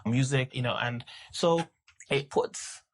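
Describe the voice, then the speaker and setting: Uk guy in his 30s, mid-range voice, keeps restarting and saying you know, relaxed chat. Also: mid-range voice. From United Kingdom. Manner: halting, many restarts and fillers. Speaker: podcast guest. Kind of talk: casual conversational interview.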